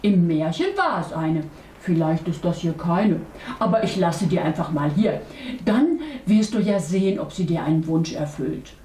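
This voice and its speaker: A woman's voice: on the low side, expressive, moderate pace, dark tone, voice pushed down, natural voice. A woman voicing a kindly, easygoing male sea lion in a children's puppet play. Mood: helpful, musing. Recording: echoey room, distant mic, faint noise.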